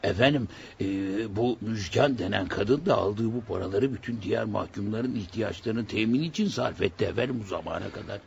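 A hesitant prison subordinate reporting respectfully to his boss in a radio play. His voice is gravelly and halting. The recording is dull with faint noise. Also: fairly low pitch, a little mellow. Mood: hesitant, deferential. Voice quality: gravelly, creaky. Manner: deferential, halting. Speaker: radio drama actor. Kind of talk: Turkish radio theatre acting. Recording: dull, slightly noisy old radio recording.

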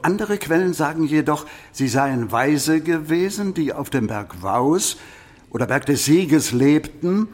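An actor playing a learned medieval canon in a radio play, lecturing in a pedantic, deliberate manner. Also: male voice, a little high, a little mellow. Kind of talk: German radio play acting.

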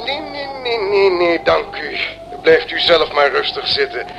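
An actor playing a mild, conciliatory pastor in a radio play, hesitant and given to repeating soothing little words. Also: male voice, on the high side, sing-song, unhurried, creaky, muffled recording, music in the background, exaggerated voice. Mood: kindly, awkward. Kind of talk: radio drama acting. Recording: noisy old radio play recording.

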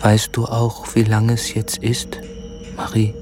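An actor playing a tormented, brooding murderer in a radio drama, speaking haltingly and often dropping into muttered whispers. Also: male voice, fairly low pitch, monotone, over music. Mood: brooding, uneasy. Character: tormented, brooding man turned murderer of his lover. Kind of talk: literary radio drama acting.

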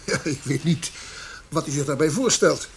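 Dry, polite police investigator. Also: male voice, a little high, moderate pace, creaky. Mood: formal. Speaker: actor in a radio play. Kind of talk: Dutch radio drama acting. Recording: faint background noise.

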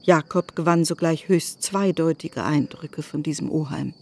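Low, mellow woman narrator. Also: moderate pace, a little hiss or hum. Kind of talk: scripted literary narration.

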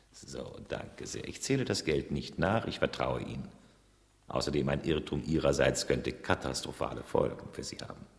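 An actor playing an unctuous, sly blackmailer with exaggerated courtesy in a 1970s radio play, sounding in a big echoing hall. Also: male voice, on the low side, creaky, full character voice. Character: unctuous, theatrical male blackmailer meeting his victim. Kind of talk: 1970s German radio drama acting. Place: reverberant museum-hall acoustic. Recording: long echo of a large hall.

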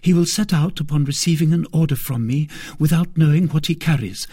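A man's voice: a little high, brisk, mellow, breathy edge, no room sound, studio quality, pitched above the performer's own voice. A man doing an earnest, devoted young maid. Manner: fervent, earnest, at times whispered. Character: devoted young lady-in-waiting, earnest and loyal.

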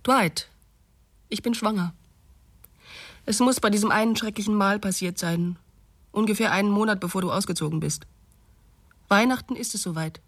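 An actress playing a regretful young woman reading out her confessional letter in a breathy, intimate radio drama style. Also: medium pitch, moderate pace, long pauses, dry recording, lightly acted. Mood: tender, nervous.

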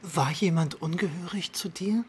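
A man's voice: high-pitched, moderate pace, darkish tone, a little hiss or hum, close to an everyday voice. An actor playing a bitter, jealous, tormented man in a radio drama, with a literary, theatrical delivery. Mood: gentle, confiding. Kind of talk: radio drama acting with first-person narration.

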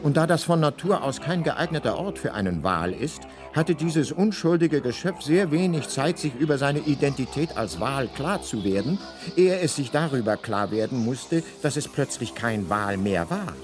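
A man playing the wry, measured narrator in a radio drama, reading scripted story passages. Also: mid-range voice, expressive, music in the background, natural voice.

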